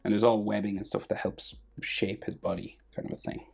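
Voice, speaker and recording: An Irish man with a gravelly, husky voice, casually talking through a drawing lesson step by step and trailing off quietly as he works. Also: on the low side, a little fast. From Ireland. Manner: relaxed, thinking aloud while drawing, trailing off quietly. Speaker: drawing tutor.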